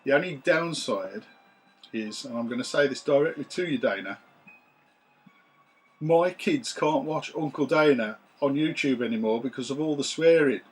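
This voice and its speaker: A man, his voice a bit rough from recovering after losing it, chatting to camera in a relaxed, rambling way with many ums, recorded on a camera's built-in mic in a small room. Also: medium pitch, normal speed, faint music behind. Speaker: retro games collector vlogger. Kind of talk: casual chat to camera. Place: small room full of retro computers.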